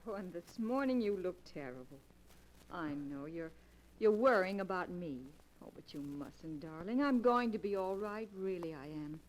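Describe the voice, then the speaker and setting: A woman's voice: on the low side, animated, moderate pace, close to an everyday voice, poor audio. A woman playing a tender, solicitous wife in 1940s radio drama style. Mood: tender, concerned. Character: devoted, gently worried wife of the locksmith. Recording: vintage 1940s radio recording.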